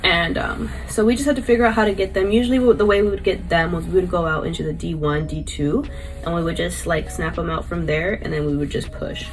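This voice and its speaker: A woman's voice: on the low side, fairly even intonation, quick pace. Young woman, stuffed-up cold voice, loose rambling vlog chat, faint music underneath. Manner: rambling, filler-heavy, chatty. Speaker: vlogger and paintball player. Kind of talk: casual recap vlog.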